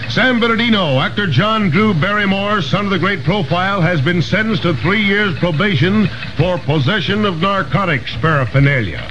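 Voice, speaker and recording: A man's voice: high-pitched, animated, normal speed, hardly any pauses, fairly bright tone. An American man reading a scripted 1960s radio newscast with wry, punchy announcer delivery. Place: radio studio. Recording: muffled, noisy 1960s AM radio aircheck.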